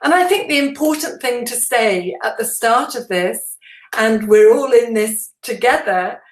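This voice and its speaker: A woman with a fairly high voice leads a training session for teachers. She speaks slowly and explains each point in a measured, deliberate way. The sound is noticeably roomy, with audible echo around her words. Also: lively intonation. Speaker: teacher trainer presenting a webinar. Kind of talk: instructional presentation to teachers.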